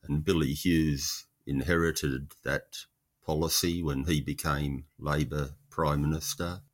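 A man, a historical researcher explaining in interview answers, measured and thoughtful with frequent pauses. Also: very deep voice, fairly even intonation.

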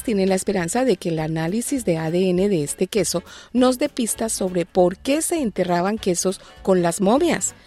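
A woman's voice: mid-range voice, a little mellow. A woman hosting a light news segment, reading scripted stories with playful, joking asides. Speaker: news show host. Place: lives in Australia.

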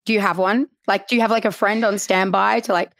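A woman hosting a casual interview, asking playful questions and quickly chiming in with agreement. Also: medium pitch, steady tone, fairly bright tone, no echo. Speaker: podcast host.

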